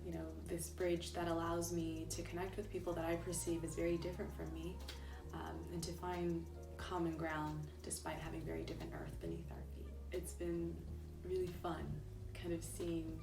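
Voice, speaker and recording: A young adult woman speaking thoughtfully about her tarot practice, with many ums and pauses. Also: fairly low pitch, distant mic. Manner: soft-spoken, thoughtful, many ums and you-knows. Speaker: tarot reader and interviewee. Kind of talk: reflective personal talk. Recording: roomy sound, voice set back from the mic, clear music behind.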